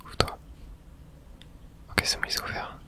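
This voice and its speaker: Whispering woman, ASMR gamer. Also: normal speed. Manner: reacts aloud to the game in casual slangy speech. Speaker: ASMR game streamer. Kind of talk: ASMR whispered gameplay commentary.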